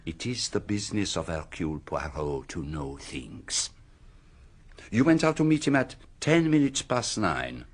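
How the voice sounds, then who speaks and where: An actor in a radio drama playing a shrewd, courteous detective, formal, deliberate and slyly knowing. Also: male voice, low voice, very animated, slightly rough, full character voice. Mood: probing, firm. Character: shrewd, courteous, self-satisfied Belgian detective.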